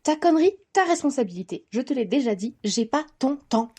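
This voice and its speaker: An actress playing a tough, impatient young mafia sister in a French audio drama, curt and dry. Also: female voice, high voice, very animated, fairly quick, background noise. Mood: curt, irritated. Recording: faint music behind.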